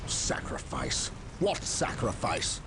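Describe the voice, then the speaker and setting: A man's voice: slow, heavy background noise, close to an everyday voice. A man playing a stern, resolute space soldier captain in a game cutscene, grave and declamatory. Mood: defiant. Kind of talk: video game cutscene acting. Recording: loud music under the voice.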